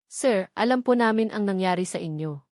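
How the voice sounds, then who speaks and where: A woman's voice: mid-range voice, lively intonation, moderate pace, a little mellow, no echo, clean studio sound, natural voice. A woman voicing a formal, politely apologetic HR manager turning down an applicant in a narrated story. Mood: apologetic, firm.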